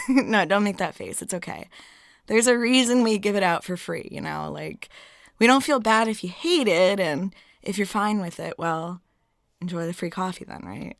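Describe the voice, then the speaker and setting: A woman performing an intimate roleplay as a friendly, flirty waitress, chatty and giggly, with her voice often dropping soft and breathy. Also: medium pitch, moderate pace, no echo, clean studio sound. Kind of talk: intimate ASMR-style roleplay monologue.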